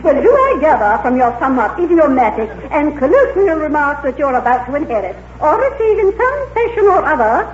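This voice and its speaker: Haughty, high-fluting society matron. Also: female voice, very animated, brisk, few pauses, slight background noise. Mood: politely flustered, condescending. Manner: affected, genteel, flowery and hesitant speech. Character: haughty, fluttery middle-aged society matron with pretensions. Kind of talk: 1940s radio comedy acting. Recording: dull, echoey, humming 1940s radio recording.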